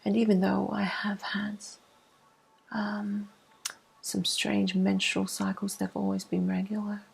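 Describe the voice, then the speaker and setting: Soft asmr lady, slow and husky, lots of fry, kinda low voice, half whispering her story. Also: monotone. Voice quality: breathy, husky, often dropping into whisper. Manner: slow, hushed, unhurried.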